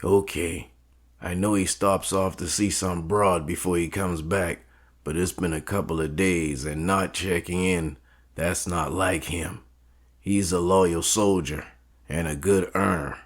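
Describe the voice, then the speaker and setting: A man playing a calculating mob boss in an audio story, formal, measured and authoritative, with music behind him. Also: deep voice, fairly even intonation. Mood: controlled authority. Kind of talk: dramatic audio story acting. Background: background music.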